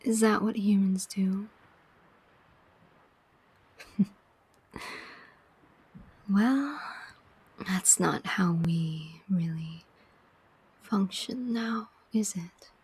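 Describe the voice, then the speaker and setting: Casting: woman to play female demon soul collector for ASMR roleplay. Very breathy whisper, extremely unhurried, sardonic, weary, teasing. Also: mid-range voice, darkish tone, full character voice, low-quality recording. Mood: teasing, wry. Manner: slow, deliberate, teasing, pausing often.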